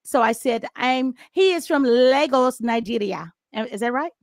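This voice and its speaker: A woman's voice: a little high, animated, moderate pace, no room sound, studio quality. A woman hosting a talk show, chatting playfully and giggling with her guest. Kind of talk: casual chat.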